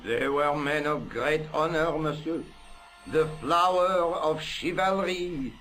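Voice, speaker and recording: An actor playing a cultured, proud and touchy French police inspector in a video game, formal and haughty, with a French accent. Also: male voice, fairly high pitch, takes it slowly, a bit bright, a little hiss or hum.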